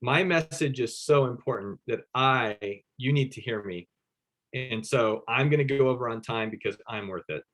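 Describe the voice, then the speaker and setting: A man's voice: medium pitch, moderate pace, a bit bright, close to an everyday voice, low-quality recording. A man voicing an arrogant male networker who thinks he is better than everyone, speaking smugly. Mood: self-important. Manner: smug, self-important.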